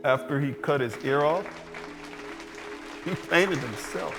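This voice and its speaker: Slow, echoing stage storyteller played by a man. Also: mid-range voice, expressive, a crowd in the background. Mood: reverent, fond. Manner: storytelling, deliberate with long pauses. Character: admiring man fondly recounting a troubled painter's life. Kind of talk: theatrical stage monologue. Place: indoor stage performance space. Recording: echoey stage hall, music behind. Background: plucked guitar music.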